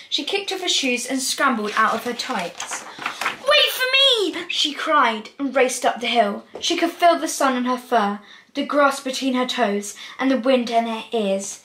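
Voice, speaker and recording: Girl of about twelve, high voice, expressive children's read-aloud, careful delivery, echoey room. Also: normal speed. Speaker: presenter reading children's picture books aloud. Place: small room at home, indoors.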